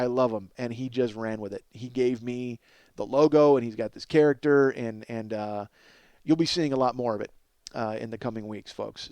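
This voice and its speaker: A man in middle age delivers a casual comedic monologue as a podcast host, at a medium pitch in a dry, echo-free recording. He is profane and self-deprecating, keeps wandering off on digressions, and gets excitable as he exaggerates for laughs. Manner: exaggerates for comic effect, excitable.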